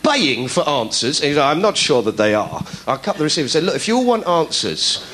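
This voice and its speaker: A middle-aged comedian telling a story to a studio audience, animated and putting on voices for the people he quotes. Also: on the high side, quick pace, a little hiss or hum. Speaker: comedian host.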